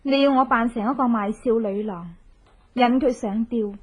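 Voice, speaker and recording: A woman's voice: a little high, husky. An actress playing a determined young avenging woman who coaxes sweetly, in Hong Kong Cantonese radio drama style. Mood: resolute, earnest. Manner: coaxing, theatrical, drawn-out sentence particles.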